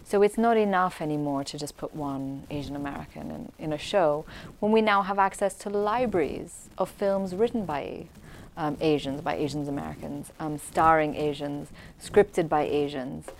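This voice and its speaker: A woman who grew up in Syria, answering as an interview guest in an articulate, measured, reflective way. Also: low voice, a little hiss or hum. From Syria, grew up in Damascus. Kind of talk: conversational commentary on culture.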